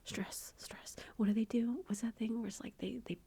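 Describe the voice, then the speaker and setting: A middle-aged woman chatting in a soft, rambling whisper for her ASMR channel, confiding and talkative. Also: on the high side, little pitch movement, fast talker, creaky, breathy edge. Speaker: ASMR YouTuber.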